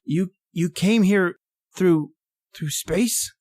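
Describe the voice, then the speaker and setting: A man's voice: high-pitched, drawn-out words, a little mellow, no room sound, exaggerated voice. Actor as an awestruck, stammering man, dry close sound. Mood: awed questioning. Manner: stammering, breathless urgency. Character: awestruck, insistently curious man confronting an alien. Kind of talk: old-time radio horror acting.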